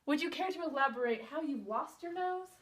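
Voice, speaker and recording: A woman's voice: high-pitched, expressive, a little fast, far from the mic. A woman playing a field reporter who introduces a guest in an announcing way. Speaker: field reporter in a school skit. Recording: echoey room, off-mic.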